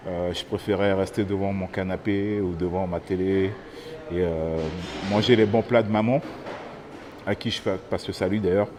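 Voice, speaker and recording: A man in his thirties from French Guiana, telling his own story haltingly, with many fillers and restarts. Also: low-pitched, steady tone, background noise. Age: 30s. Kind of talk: personal testimony to camera. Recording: music playing under the voice.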